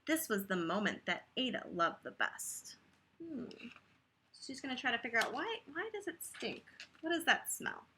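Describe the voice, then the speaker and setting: A woman reading a children's picture book aloud expressively, pausing to chat to her young listeners about the story. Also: on the high side, a little hiss or hum. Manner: animated, pauses to comment and ask listeners questions.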